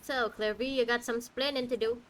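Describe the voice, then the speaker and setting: A woman's voice: fairly high pitch, fairly even intonation, a little fast, a bit bright, slight background noise. A woman game streamer giving casual, reactive playthrough commentary with a wry way of talking.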